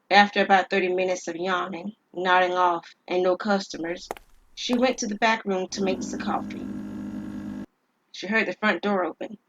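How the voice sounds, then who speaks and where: A woman's voice: mid-range voice, dull audio. A woman narrating a scripted dramatic story as the storyteller.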